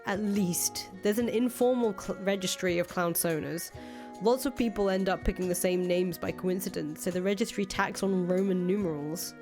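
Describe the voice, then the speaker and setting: A woman voicing a jaded, blunt young fugitive in a visual novel, sardonic and quick to swear. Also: lowish voice, natural voice. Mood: exasperated, incredulous. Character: jaded, foul-mouthed young woman, fugitive ex-cult leader. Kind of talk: voiced reading of visual novel dialogue. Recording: music playing under the voice.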